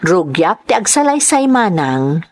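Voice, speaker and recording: A woman's voice: on the low side, big pitch swings, measured pace, darkish tone. A woman with an Ilocano accent hosting and narrating, reading greetings and a listener's letter aloud. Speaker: host and narrator of a drama channel.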